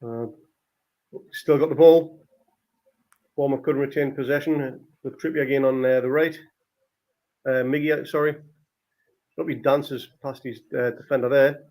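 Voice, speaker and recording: A man's voice: medium pitch, fairly even intonation, normal speed, pauses often, darkish tone, low-quality recording. A man hosting a live football watchalong, giving casual, partisan commentary on play as it happens, with exclamations. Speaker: football watchalong podcast host.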